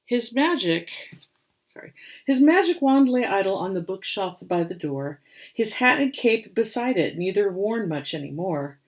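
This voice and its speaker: A woman reading a rhyming picture book aloud as storyteller and host, with expressive, theatrical delivery. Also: medium pitch, normal speed.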